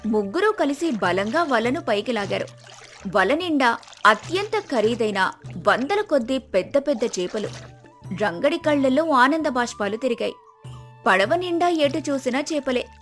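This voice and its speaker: A woman's voice: high voice, fairly bright tone. A woman storyteller reading a scripted moral tale as narration.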